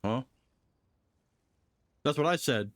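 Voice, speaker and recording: A man livestreams a game with casual commentary and bits of dialogue read out. His voice is medium in pitch and recorded close and dry. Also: lively intonation, slow, a bit bright, no echo. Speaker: game streamer.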